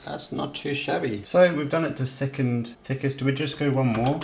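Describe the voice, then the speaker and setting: A man's voice: mid-range voice, normal speed, vocal fry. Young man, cooking vlog host, casual, rambling, home kitchen, faint background noise. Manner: relaxed, rambling, self-correcting.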